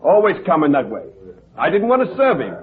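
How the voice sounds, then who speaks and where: An actor playing a chatty, scornful bartender in an old-time radio drama, talking in folksy, gossipy slang. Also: male voice, on the high side, huge pitch swings, a little fast. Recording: tinny old radio recording, crowd noise.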